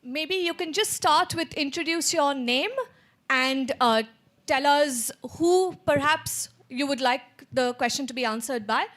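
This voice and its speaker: A woman acts as moderator for a panel. Her voice is high, and she handles the audience questions in a casual, unscripted way. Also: a little slow, a bit bright.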